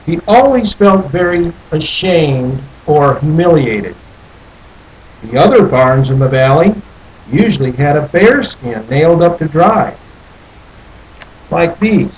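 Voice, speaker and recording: A man reading a picture book aloud as a storyteller, deliberately, with questions and asides to his young listeners. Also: fairly high pitch, rough recording. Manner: deliberate, asks the listeners questions and repeats phrases.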